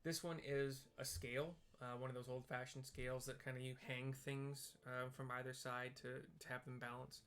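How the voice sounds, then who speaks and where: A man casually describing video game scenes aloud, explaining step by step in a halting way. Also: medium pitch, flat delivery, fairly bright tone, clear, resonant tone. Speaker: gaming streamer narrating for visually impaired viewers.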